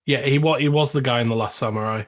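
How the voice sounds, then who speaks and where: Man, medium pitch, casual chat, podcast co-host, conversational. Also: fast.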